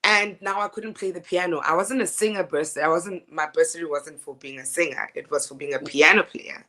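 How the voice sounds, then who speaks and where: Woman, deep low speaking voice; animated interview guest, laughs easily. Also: brisk. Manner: animated, laughing storyteller with frequent restarts. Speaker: opera singer guest. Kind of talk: casual interview chat.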